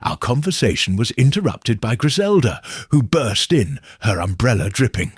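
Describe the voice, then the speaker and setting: A man narrating a mystery story in a measured, unhurried storytelling manner. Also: medium pitch, sing-song, breathy edge, dry recording, clean studio sound.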